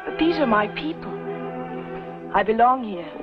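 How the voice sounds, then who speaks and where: Devout, proud pioneer girl, higher-pitched, dramatic, vintage western soundtrack, muffled, scored. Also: animated, unhurried. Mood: firm, reproachful. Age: young adult. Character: devout, proud young pioneer woman, firm-willed. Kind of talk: 1950s television western melodrama. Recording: muffled old TV soundtrack with music.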